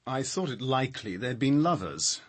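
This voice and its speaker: A prickly sardonic scientist in a radio mystery, dry articulate delivery, slightly rough voice. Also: male voice, mid-range voice, big pitch swings, normal speed. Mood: guarded, irritated. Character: prickly, sardonic male power station scientist. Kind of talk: radio crime drama acting.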